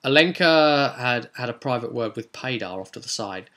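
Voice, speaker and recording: Male game master and host, bright forceful voice, conversational storyteller recapping roleplay sessions. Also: medium pitch, lively intonation, moderate pace. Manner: conversational storytelling, acting out characters' remarks.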